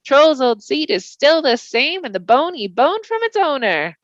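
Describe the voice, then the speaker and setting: A woman's voice: high voice, sing-song, measured pace, no echo, lo-fi sound, pitched above the performer's own voice. A woman voicing a humble young male hobbit with folksy rustic grammar, for a storybook. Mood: playful, singsong.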